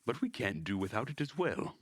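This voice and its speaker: Man doing an eager, higher-pitched nerdy club boy. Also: sing-song, brisk, slightly rough, dry recording. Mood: eager, friendly. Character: eager, sly young male electronics club nerd.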